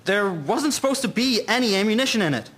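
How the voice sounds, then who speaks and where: A man's voice: high voice, lively intonation, a little fast, fairly bright tone. A young man playing an airman in a historical audio drama. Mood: urgent. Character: young airman friend, chummy, takes charge under stress.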